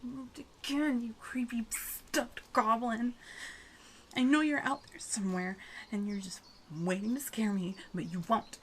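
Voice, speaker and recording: A woman's voice: on the high side, measured pace, slight background noise, close to an everyday voice. A woman playing a frightened but defiant young girl in an audio horror drama, pleading and insistent. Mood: wary, defiant.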